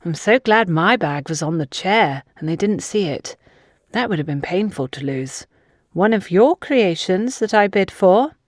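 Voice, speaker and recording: A woman's voice: lowish voice, expressive, unhurried, no echo, clean studio sound. A woman voicing an older, gossipy society mother in an audiobook, chatty, dramatic and insistent. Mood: dramatic recounting.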